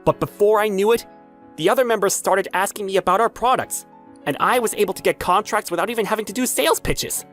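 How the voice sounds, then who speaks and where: A man's voice: sits high, fast talker, fairly bright tone, faint music behind. A man playing an earnest, polite young salesman who narrates his own story in a manga dub. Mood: reflective.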